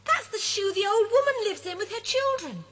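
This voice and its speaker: A woman's voice: high-pitched, very animated, normal speed, bright, forceful tone, voice pushed up, full character voice. A woman voicing a polite, eager young bear boy in an earnest, childlike way.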